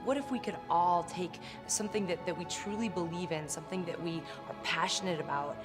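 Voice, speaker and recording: A woman with a lowish voice speaks earnestly, asking rhetorical questions, while clear music plays behind her. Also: brisk. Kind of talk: interview for a tribute video.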